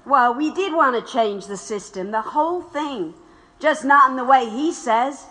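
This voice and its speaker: A woman's voice: high voice, lively intonation, a little slow, fairly bright tone. A woman playing a tough, reflective widowed revolutionary in an audio drama. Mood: wry, resigned.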